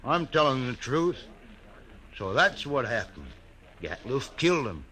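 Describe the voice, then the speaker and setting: A man playing a rough, nervous frontier hide skinner in an old radio western, with a folksy drawl. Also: mid-range voice, very animated, unhurried, bright, forceful tone. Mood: wary. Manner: folksy drawl, eager and wary. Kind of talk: 1950s radio western acting.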